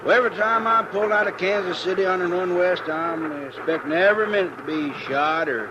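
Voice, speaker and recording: Man playing a chatty, high-pitched western trainman. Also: brisk, natural voice. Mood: chatty, grumbling. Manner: garrulous, folksy drawl. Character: chatty, nervous, rustic western train conductor. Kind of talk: 1950s radio western acting. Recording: dull, noisy 1950s radio recording.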